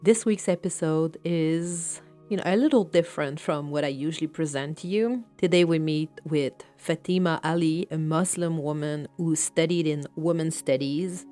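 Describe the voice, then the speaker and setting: A woman host opens her podcast with a very low voice. She talks in a relaxed, chatty way, full of fillers. Soft music plays faintly beneath her. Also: moderate pace, hardly any pauses. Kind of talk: podcast intro.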